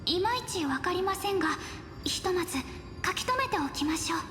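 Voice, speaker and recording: A woman's voice: very high-pitched, lively intonation, racing, pushed and bright, full character voice. A woman voicing a polite, inquisitive young girl in an anime-style video game, cute and courteous, with reverb. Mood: curious, thoughtful. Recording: echoing game audio under music.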